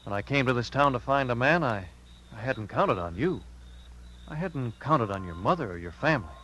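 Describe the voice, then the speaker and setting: An actor playing a persuasive, insistent but kind young detective in a 1950s radio drama. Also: male voice, medium pitch, lively intonation, moderate pace, sharp tone, poor audio. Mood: earnest, pleading. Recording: hissy, humming 1950s radio recording.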